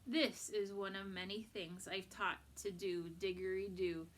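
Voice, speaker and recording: A woman reads a children's picture book aloud at an unhurried pace. Her delivery is expressive and instructive, with a medium pitch. A little background noise sits under her voice. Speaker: storybook reader for children.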